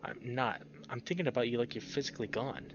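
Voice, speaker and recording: A man's voice: mid-range voice, fast talker, slight background noise, close to an everyday voice. A man voicing an anxious, devoted male bear in a visual novel, earnest and defensive. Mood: defensive, determined. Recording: dull lo-fi sound, music behind.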